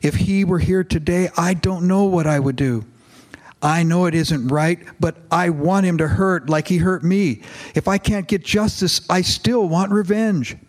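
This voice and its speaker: A man's voice: fairly high pitch, expressive, normal speed, breathy edge, pitched above the performer's own voice. A man reading aloud and voicing a grief-stricken, bitter father who pleads in a raised, anguished voice.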